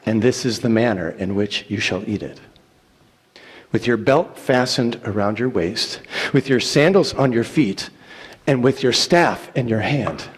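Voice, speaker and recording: Slow, dramatic man reading scripture, echoing hall. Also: medium pitch, breathy edge. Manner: solemn, declamatory, deliberate phrasing with pauses. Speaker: church reader reciting scripture. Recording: echoey hall with long reverb.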